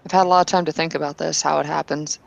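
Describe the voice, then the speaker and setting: A woman playing Bettina, an earnest and devout kinfolk woman, arguing insistently with halting restarts in a tabletop roleplay. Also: low voice, fairly even intonation, creaky, heavily acted. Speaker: player character.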